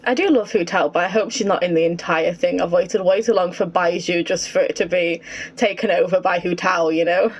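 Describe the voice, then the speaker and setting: A woman's voice: fairly low pitch, steady tone, a little fast, husky, low-quality recording. A woman streaming a video game, reacting casually with wry, exclamatory commentary. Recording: game music behind.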